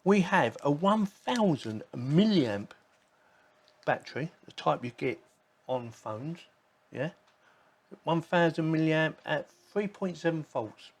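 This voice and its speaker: A man's voice: a little high, lively intonation, slow pace. A man giving a casual hands-on unboxing and review, informal and rambling as he thinks aloud. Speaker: host of a tech review channel.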